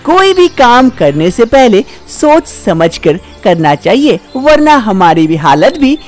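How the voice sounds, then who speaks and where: A woman's voice: fairly high pitch, big pitch swings, takes it slowly, a little mellow, faint music behind. A woman narrating a scripted animal fable for children as a storyteller.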